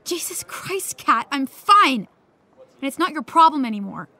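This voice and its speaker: Defensive teen girl, high bright voice, hesitant short replies, audio drama. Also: sing-song, measured pace, exaggerated voice. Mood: irritated. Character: guarded, dejected teenage girl applying to colleges. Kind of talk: naturalistic audio drama dialogue.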